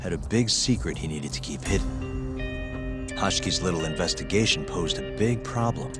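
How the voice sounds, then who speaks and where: A low-pitched, tough investigator in a dramatic game cutscene, with music clearly behind him. He is cool, confident and sardonic, leaning on people with taunting, pointed lines. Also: expressive, moderate pace. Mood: cool interrogation. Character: tough, sardonic male investigator pressing a captive for answers. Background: background music.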